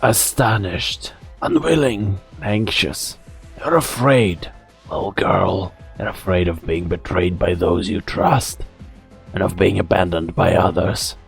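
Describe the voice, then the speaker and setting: Male actor as old game-drama scientist, low husky voice, taunting, weary, deliberate, menacing. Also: soft background music, exaggerated voice. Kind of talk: fan-dub game drama acting.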